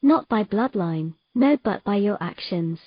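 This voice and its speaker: A woman's voice: medium pitch, lively intonation, a little slow, no echo, lo-fi sound. Distressed young mermaid, acted by a woman, close and dry. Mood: earnest. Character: young mermaid Maya, distressed, self-blaming, vulnerable. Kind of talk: audio fantasy romance drama.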